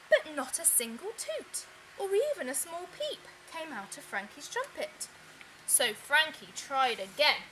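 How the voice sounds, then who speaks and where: A woman reads a picture book aloud for children in a high, bright voice. She is expressive and takes her time, leaning into key words for drama, with faint noise in the recording. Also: low-quality recording. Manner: expressive, deliberate, with dramatic emphasis. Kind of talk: children's storybook read-aloud.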